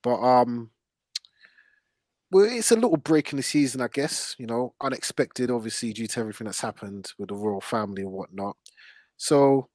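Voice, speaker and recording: A male football podcast host speaks in a dry, close recording. His pitch is middling, and he talks in blunt, slangy, opinionated chat. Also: no echo.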